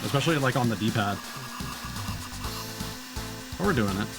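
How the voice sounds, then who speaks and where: A man's voice: mid-range voice, lively intonation, normal speed. Casual male game streamer over game music. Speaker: video game live streamer. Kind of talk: casual gaming livestream commentary. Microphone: headset microphone.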